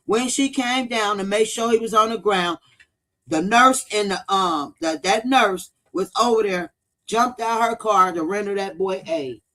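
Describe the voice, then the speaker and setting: High-pitched man, blunt livestreamer. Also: pushed and bright. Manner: blunt, profane, repeats points for emphasis. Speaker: true-crime commentary livestream host. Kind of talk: casual livestream commentary, replying to viewers.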